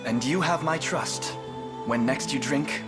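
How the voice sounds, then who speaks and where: A man's voice: medium pitch, measured pace, loud music behind the voice, natural voice. A man playing a noble, resolute young prince in a fantasy game, speaking formally and sincerely. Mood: solemn, gracious. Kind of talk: video game fantasy acting.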